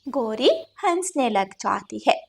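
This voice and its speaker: A woman's voice: fairly high pitch, animated, slow pace, gravelly, husky. A woman narrating a scripted children's moral story.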